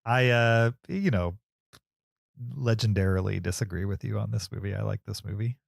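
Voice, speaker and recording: Low, gravelly man co-hosting. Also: moderate pace, no room sound, studio quality. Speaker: film podcast co-host. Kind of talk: casual film discussion.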